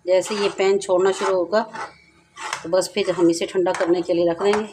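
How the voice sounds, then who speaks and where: A woman's voice: fairly low pitch, little pitch movement, moderate pace, noisy background. Chatty woman hosting a home cooking tutorial. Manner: chatty, repeats herself, addresses viewers directly. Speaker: cooking channel host. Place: home kitchen, over a stovetop.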